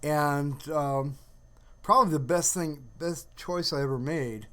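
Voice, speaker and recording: Male livestream host, slightly high-pitched voice, casual and halting delivery with unfinished thoughts. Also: bright, forceful tone. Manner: rambling, halting, trails off mid-sentence.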